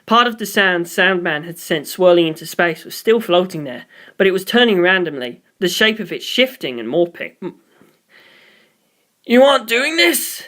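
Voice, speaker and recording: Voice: medium pitch, lively intonation, moderate pace, hardly any pauses, a bit bright. A reader delivering scripted first-person story narration, as for an audiobook.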